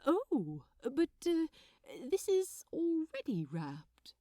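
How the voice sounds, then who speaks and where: Woman as a sweet, courteous, high-voiced gentleman. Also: huge pitch swings, extremely slow, dry recording, clean studio sound, heavily acted. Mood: polite, curious. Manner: bright, courteous, gushing with gratitude. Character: sweet, polite, delighted male gift-wrapper, gentle and proper. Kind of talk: storybook character dialogue in fan fiction reading.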